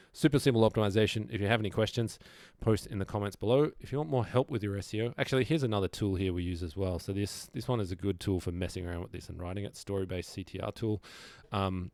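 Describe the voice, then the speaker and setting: A man's voice: low voice, darkish tone, no echo. A man presenting an informal screen-share tutorial, explaining things casually and thinking aloud as he goes.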